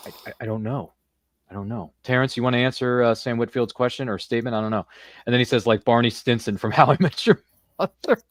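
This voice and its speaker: A man's voice: mid-range voice, quick pace. A man hosting a podcast, chatting casually and jokingly, quoting pop culture and reading out viewer comments on a livestream. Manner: jokey, pop-culture quoting, repeats phrases. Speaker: podcast host.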